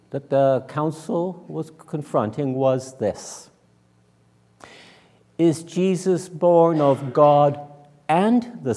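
An older man preaches in a mellow, soft-edged voice that carries through a reverberant church. He speaks slowly and deliberately, in the conversational style of a minister explaining things to a congregation. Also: a little high. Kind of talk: conversational sermon. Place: large church sanctuary, indoors.

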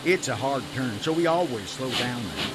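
An actor playing an anxious, agitated railroad worker in a western video game. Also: male voice, mid-range voice, animated, moderate pace, music in the background, natural voice, rough recording. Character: nervous working railroad man, male, anxious and pleading. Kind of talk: dramatic video game acting.

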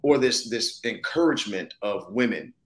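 A man with a fairly low voice talks on a video call as an interview guest. He is reflective and informal, thinking aloud and often checking that the listener follows him. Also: lively intonation, moderate pace. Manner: thoughtful, casual, punctuated with "you know what I'm saying". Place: indoor room, video call. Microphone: video call, seated close to the camera.

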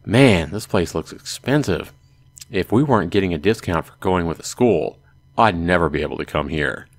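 A man's voice: deep voice, animated, normal speed, no room sound. A man voicing a wry, exasperated teenage schoolboy, the hero of a visual novel. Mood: musing.

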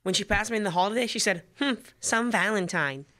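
Teen boy as an earnest teenage son, glum, high for a male, radio comedy acting. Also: a little fast, bright, forceful tone. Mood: earnest, puzzled. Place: school library room, stage microphone.

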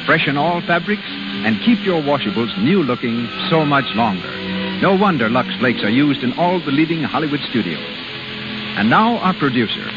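A man announces a 1930s radio show in a polished, declamatory way. His pitch is lively and swooping, with music behind him on a dull, muffled old broadcast.

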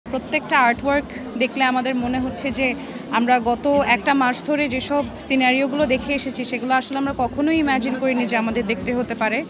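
A woman's voice: high voice, steady tone, brisk. A woman giving an interview, mixing in English phrases. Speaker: interviewee, exhibition visitor. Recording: busy crowd noise around voice.

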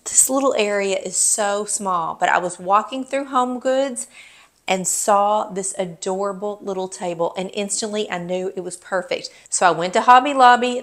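A woman's voice: medium pitch, a bit bright. A middle-aged woman giving an enthusiastic tour of her renovated living room, gushing and emphatic. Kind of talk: enthusiastic home tour narration.

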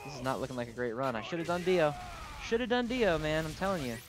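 A man's voice: a little high, lively intonation, fast. A man hosting a gaming video with casual, slangy and self-deprecating gameplay commentary. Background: music.

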